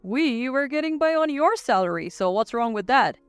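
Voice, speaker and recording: A woman's voice: high voice, lively intonation, moderate pace, clear, resonant tone, dry recording, faint music behind. A woman playing a selfish, dismissive ex-husband with a curt manner in a narrated family story. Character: selfish, defiant ex-husband, an adult man, dismissive.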